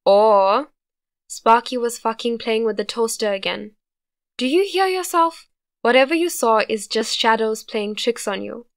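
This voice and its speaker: A woman voicing a gruff, short-tempered teenage boy who barks and swears loudly. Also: mid-range voice, steady tone, normal speed, natural voice. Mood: irritated. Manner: loud, barking, swearing.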